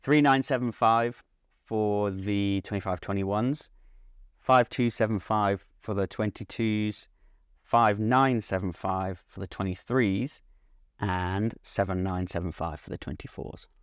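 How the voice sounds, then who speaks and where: A man speaking as a company representative in an interview, giving measured, unscripted technical explanations of a product. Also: lowish voice, fairly even intonation, smooth, no room sound, clean studio sound. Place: at a hi-fi trade show.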